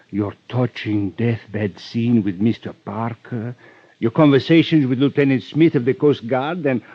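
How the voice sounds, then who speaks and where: An actor playing a suave, ruthless criminal mastermind with courtly, flowery politeness, flattering and menacing in a golden-age radio drama. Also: male voice, lowish voice, lightly acted. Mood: courteous, commanding. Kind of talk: 1950s radio drama acting. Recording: dull vintage radio recording.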